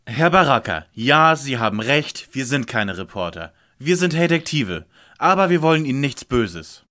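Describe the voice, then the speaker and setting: A man's voice: medium pitch, big pitch swings, normal speed, bright, forceful tone. A man playing a smug, precocious schoolboy detective, pompous and over-polite, in a parody audio play. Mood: alert.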